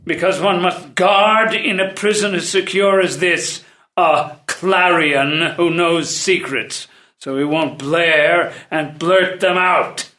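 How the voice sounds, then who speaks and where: A man voices a stern old tutor and jailer to royalty in a stage play. His delivery is slow and formal, in a bright, forceful voice. The reading rings in an echoey room. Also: on the high side, fairly even intonation. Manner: measured, formal, theatrical. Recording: roomy, echoey live room.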